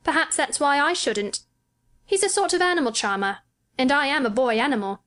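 A woman's voice: high-pitched, animated, a little fast, fairly bright tone, voice pushed up. A woman voicing a sickly, petulant and solemn young boy in a storybook, earnest and self-absorbed. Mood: thoughtful. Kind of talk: audiobook character dialogue.

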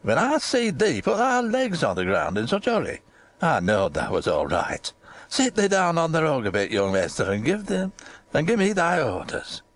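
A woman's voice: deep voice, huge pitch swings, normal speed, voice pushed down, full character voice. A woman voicing a crabbed but tender old male gardener from a storybook, testy and dry, in Yorkshire dialect. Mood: dry exultation.

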